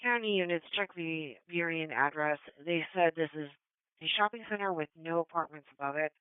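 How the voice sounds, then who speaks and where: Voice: medium pitch, fairly quick, clean tone, dry recording. A dispatcher on police radio, giving calls to units in clipped, procedural phrases. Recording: tinny narrowband police scanner radio.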